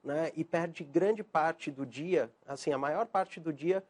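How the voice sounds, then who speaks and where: A man's voice: fairly high pitch, slow, darkish tone. A man from Argentina, a psychiatrist guest on a TV show, answering hesitantly with many fillers. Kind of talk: TV interview answers.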